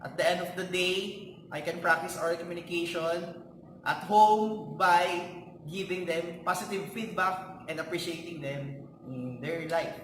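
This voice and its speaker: A man who is a teacher answers interview questions earnestly, often pausing to search for words. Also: high voice, steady tone, fairly bright tone. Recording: echoey hall, background noise.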